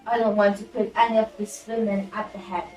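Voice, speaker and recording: A woman's voice: mid-range voice, level tone, a little fast, darkish tone, distant mic, soft background music, natural voice, poor audio. A woman playing a snobbish, scheming society hostess in an amateur play, theatrical and imperious. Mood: brisk, calculating. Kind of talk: amateur stage-play acting.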